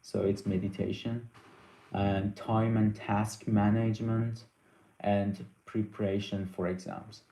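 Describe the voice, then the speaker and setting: Soft-spoken man with frequent fillers. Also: fairly low pitch, little pitch movement, creaky, echoey room, poor audio. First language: Persian. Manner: halting, many fillers and restarts, reads questions aloud. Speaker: live stream host answering viewer questions. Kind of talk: casual live Q&A.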